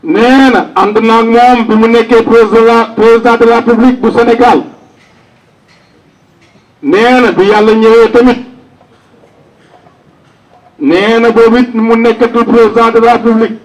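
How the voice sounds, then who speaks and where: A man's voice: extremely high pitch, fairly even intonation, normal speed, long pauses, recorded from a distance, low-quality recording. A Senegalese man announcing condolences to mourners, relaying a message at an outdoor gathering.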